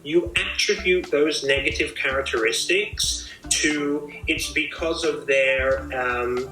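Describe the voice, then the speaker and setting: A man's voice: a little high, slow, sharp tone, reverberant, soft background music, rough recording. A man hosting a casual video chat, explaining his ideas at length in a thoughtful way. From Australia. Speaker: host.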